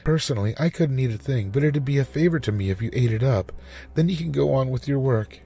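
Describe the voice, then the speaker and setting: Man voicing a pleading housewife, pitched up. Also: lively intonation, fast talker, warm tone, soft background music, lightly acted. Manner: courteous, pleading. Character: tearful, pleading, polite housewife hostess.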